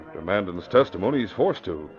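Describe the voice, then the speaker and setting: Wary old-time radio police commissioner, deep voice, plain talking, muffled 40s recording. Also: male voice, animated, fast. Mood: wary, explaining. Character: wary, plain-spoken middle-aged male police commissioner. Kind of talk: 1940s radio acting.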